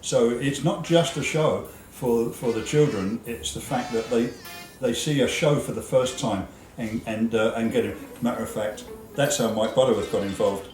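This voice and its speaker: A man giving halting, conversational explanations as an interviewed stage performer. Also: mid-range voice, far from the mic. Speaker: pantomime performer being interviewed. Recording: echoey room, music behind.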